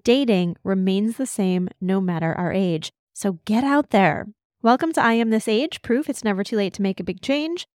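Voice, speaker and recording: A woman's voice: mid-range voice, normal speed, darkish tone, clear, resonant tone, dry recording, clean studio sound. A woman hosting a podcast as a midlife change coach, reading an upbeat scripted intro and then chatting casually with guests.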